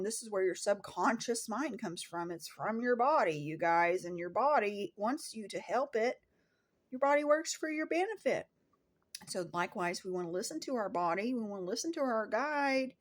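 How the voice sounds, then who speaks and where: A woman giving an informal spiritual teaching monologue to viewers, speaking in an emphatic, declarative way with repeated phrasing. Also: a little high. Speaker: spiritual astrology content creator.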